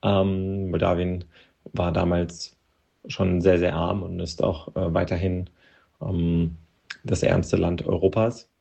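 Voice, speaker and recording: Man from Moldova, native Russian speaker, gravelly voice, breathy, halting and searching for words. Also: sits low, flat delivery, mellow. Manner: hesitant, with many pauses and restarts. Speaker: interview guest. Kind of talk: personal interview account.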